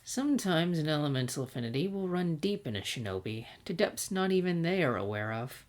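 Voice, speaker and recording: A woman voicing a calm, elderly male leader in a story, speaking in a measured, explanatory way. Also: deep voice. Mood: sage, calm. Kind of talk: storybook narration character voice.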